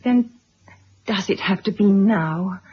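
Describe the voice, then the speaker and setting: Casting: wounded middle-aged wife, formal and guarded, breathy husky voice, 1970s radio play, muffled lo-fi recording. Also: female voice, medium pitch, takes it slowly. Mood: shaken, resigned. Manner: formal, restrained, hesitant. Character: reserved, wounded wife and mother, stiffly polite. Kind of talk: 1970s radio drama acting. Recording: dull lo-fi vintage radio recording.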